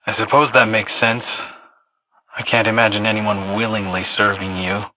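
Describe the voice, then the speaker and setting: An actor playing an earnest, skeptical little robot companion in a video game, with articulate, matter-of-fact delivery. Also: male voice, fairly low pitch, flat delivery, normal speed, pushed and bright, no echo, poor audio. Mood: dry disapproval. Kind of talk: scripted video game dialogue.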